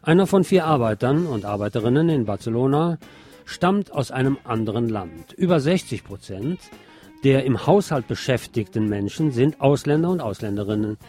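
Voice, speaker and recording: A man's voice: mid-range voice, animated, moderate pace, dark tone, soft background music. A man presenting scripted radio news, with brief asides dropped in between the items.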